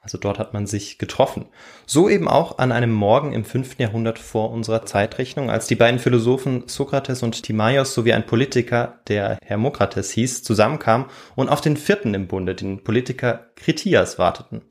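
A man's voice: medium pitch, brisk. A man, hosting a history podcast and telling the story in a semi-scripted way. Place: warm indoor room.

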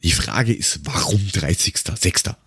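A man from Lower Austria with an Austrian accent, chatting casually and sarcastically as a podcast co-host, given to ranting and crude jokes. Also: lively intonation, normal speed, gravelly, background noise. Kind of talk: casual chat.

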